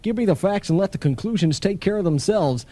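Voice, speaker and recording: A man's voice: high voice, animated, a little fast, warm tone. A man playing a vain, blustering middle-aged businessman, self-important and prone to flustered stammers, in an old-time radio comedy. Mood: boastful. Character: pompous, blustering henpecked businessman, vain and gullible.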